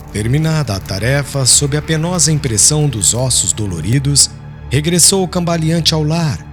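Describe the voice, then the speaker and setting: A man with a Brazilian accent narrating an audiobook in a solemn, measured, dramatic literary style. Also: mid-range voice.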